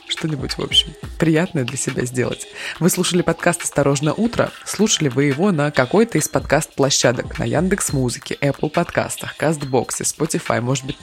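A woman from Krasnoyarsk co-hosting a morning news podcast, reading the news items in an even, matter-of-fact way. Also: low-pitched. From Krasnoyarsk, Russia.

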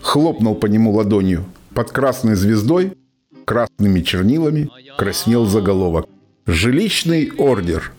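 A man narrating a scripted radio play in a dramatic storytelling manner, with deliberate pauses. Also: on the low side, lively intonation, a little mellow. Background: music.

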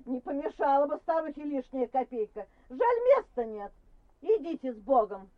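A woman's voice: high-pitched, expressive, moderate pace, dry recording, muffled recording. An actress playing a grumpy, suspicious old village woman in a radio play, scolding sharply. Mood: grudging. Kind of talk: Soviet-style radio play acting.

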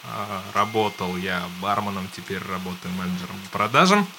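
A man's voice: deep voice, moderate pace, noisy background. A man in his thirties answering interview questions with wry, dry humor. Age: 30s. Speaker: band member.

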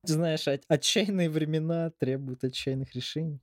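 A man's voice: a little high, steady tone, moderate pace, dry recording, clean studio sound. A young man, chatting as a podcast co-host in a slangy, wry way with some swearing. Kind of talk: casual chat with swearing.

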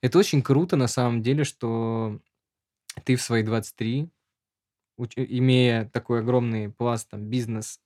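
A man's voice: medium pitch, fairly even intonation, a little slow, a little mellow. A man hosting a podcast, chatting casually in rambling, slangy language. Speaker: podcast host.

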